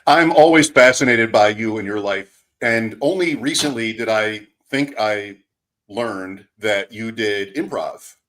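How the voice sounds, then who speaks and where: A man speaks with the smooth, soothing delivery of a radio host. His voice sits on the low side, and he talks in a relaxed, friendly way as a guest on a video call.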